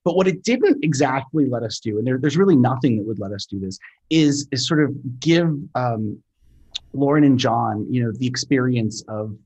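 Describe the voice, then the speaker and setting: A man in casual conversation, explaining his work in a halting way, thinking aloud and repeating words as he goes. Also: medium pitch, a little mellow, slightly rough, muffled recording. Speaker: sound designer, interview guest.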